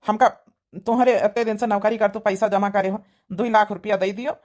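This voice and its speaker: A woman's voice: medium pitch, steady tone, a little fast, darkish tone, lightly acted. Woman as a humble, pleading village husband. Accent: Awadhi. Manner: earnest, pleading. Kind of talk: cartoon comedy acting.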